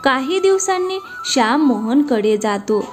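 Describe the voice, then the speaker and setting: A woman's voice: high-pitched, animated, a little slow, faint music behind. A woman narrator reading a scripted cartoon moral story.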